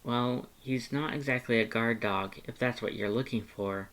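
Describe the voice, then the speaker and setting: A man voicing a gentle, tentative animal shelter worker in a story, speaking softly and politely with some hesitation. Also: medium pitch, normal speed, close to an everyday voice. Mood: cautious. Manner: soft-spoken, hesitant, polite.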